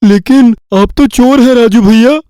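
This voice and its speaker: Gullible teenage village boy for a cartoon fairy tale male actor: earnest, unhurried, mellow. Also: very high voice, no echo, poor audio. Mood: eager, trusting. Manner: naive, slow-witted, earnest. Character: gullible simple-minded eighteen-year-old village lad, eager to please.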